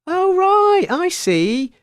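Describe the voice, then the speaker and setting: A man's voice: very high-pitched, sing-song, extremely slow, no echo, studio quality. A man co-hosting a comedy radio show in a mock-formal, bemused presenter manner.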